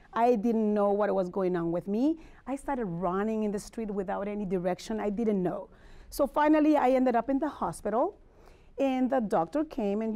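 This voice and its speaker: A woman's voice: on the high side, a little mellow. A middle-aged woman from Mexico City with a Mexican accent, a native Spanish speaker, gives a personal wellness presentation as a motivational speaker, talking candidly and conversationally and checking in with her listeners. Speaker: motivational speaker and life coach.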